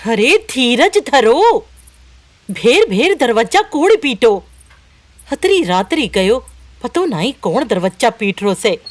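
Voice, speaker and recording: A woman's voice: a little high, big pitch swings, slow, heavily acted. A woman playing a sadistic, theatrical village storyteller with a Rajasthani accent in an audio horror drama. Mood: scolding, sly.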